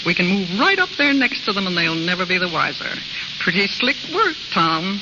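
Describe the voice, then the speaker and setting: A woman's voice: lowish voice, very animated, moderate pace, noisy background. An actress playing a pushy female crook who poses as a genteel mother, in old radio style. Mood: brassy, needling. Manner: slangy, pushy. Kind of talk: 1940s radio acting. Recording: dull old radio recording with loud music.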